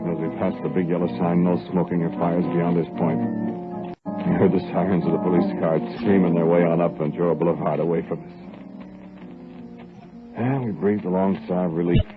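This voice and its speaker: A man's voice: low-pitched, steady tone, a little fast, lightly acted. An American man acting a curt, cynical, domineering killer on the run in a 1940s radio drama, terse and slangy. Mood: subdued, weary. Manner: terse, slangy, tough-talking. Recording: muffled 1949 radio recording, music behind.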